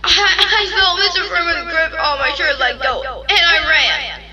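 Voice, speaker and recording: High-voiced frightened young boy. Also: bright, forceful tone, far from the mic, muffled recording, a little hiss or hum, exaggerated voice. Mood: distraught, pleading. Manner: halting, pleading, tearful. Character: frightened, guilt-ridden young boy confessing. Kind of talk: audio drama acting.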